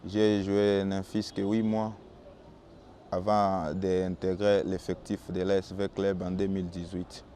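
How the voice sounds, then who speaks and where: Young man, Congolese French accent, native Swahili speaker, measured and calm, lowish voice, faint noise behind. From Bukavu, DR Congo. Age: young adult. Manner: calm and measured, with small restarts. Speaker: football goalkeeper. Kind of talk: answering interview questions, recounting his career.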